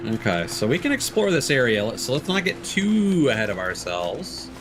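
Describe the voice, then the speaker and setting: A man's voice: mid-range voice, very animated, moderate pace, music in the background. A man giving casual livestream gameplay commentary, thinking aloud in a rambling, jokey way. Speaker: video game streamer. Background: game ambience.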